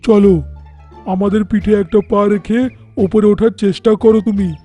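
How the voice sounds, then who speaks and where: An earnest talking animal from a cartoon fable, acted by a man. The voice is very high yet mellow, with a declamatory delivery. Music plays faintly behind. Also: fairly even intonation, unhurried. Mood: resolute. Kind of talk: cartoon dialogue acting.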